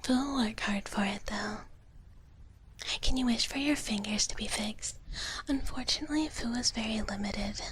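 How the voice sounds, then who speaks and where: A woman whispering softly and breathily in a gentle ASMR livestream chat, close to the microphone. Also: a little high, breathy edge. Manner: slow, gentle, speaks of herself in third person as a dragon character. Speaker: live streamer hosting ASMR.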